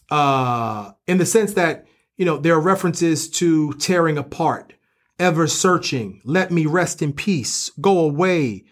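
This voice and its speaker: Higher-voiced man, scholarly discussion host. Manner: scholarly, explanatory, often asks rhetorical questions. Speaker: professor and co-host.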